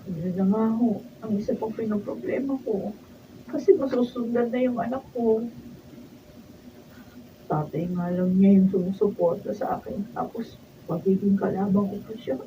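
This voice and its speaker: A woman's voice: medium pitch, normal speed, dark tone, natural voice. An actress playing a long-suffering young pregnant wife and mother in a Filipino radio drama, with emotional delivery. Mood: subdued, worried. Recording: dull, distant mic, faint noise.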